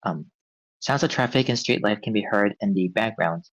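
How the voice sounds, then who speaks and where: A man's voice: lowish voice, normal speed, no echo. A man reading script directions aloud at a table read, on a slightly dull recording. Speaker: stage-direction reader.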